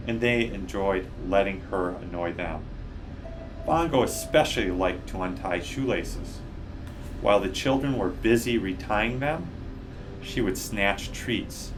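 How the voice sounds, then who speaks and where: A man with a lowish voice reads a children's story aloud. He phrases it deliberately and takes plenty of time, while music plays clearly behind him. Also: rough recording. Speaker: guest storybook reader. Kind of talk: children's book read-aloud.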